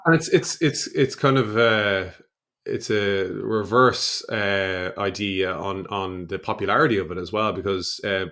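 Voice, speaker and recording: An Irish man with a fairly low voice hosts a conversational podcast interview. He speaks casually, in halting phrases that often restart as he goes. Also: sharp tone. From Ireland.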